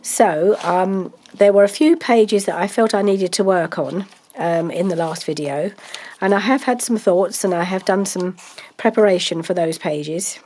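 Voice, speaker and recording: A woman's voice: mid-range voice, measured pace, a little mellow. A woman, chatting casually about her crafting project, congested from a cold and rambling with many ums. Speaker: craft vlogger.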